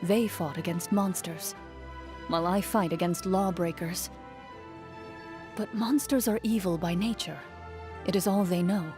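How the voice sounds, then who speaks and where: An actress playing a cool, composed young woman, a champion duelist in a fantasy video game, speaking in a measured, formal and restrained way. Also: lowish voice, fairly even intonation, music in the background, natural voice. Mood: measured, reflective. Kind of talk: scripted video game character voicelines.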